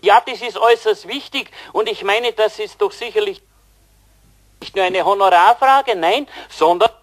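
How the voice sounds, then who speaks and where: A man's voice: high voice, normal speed, close to an everyday voice. An actor playing a chatty, muddled, oblivious man on the telephone, rambling and interrupting himself in a comic German radio play. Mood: eager, rambling. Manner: rambling, hesitant, self-interrupting.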